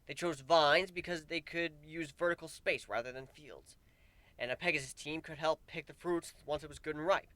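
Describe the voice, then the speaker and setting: A man's voice: a little high, steady tone, normal speed, bright, forceful tone, no room sound, voice pushed up. A man voicing a weary, wry male pegasus who grows plants, in a dramatic fan fiction reading. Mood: earnest explaining.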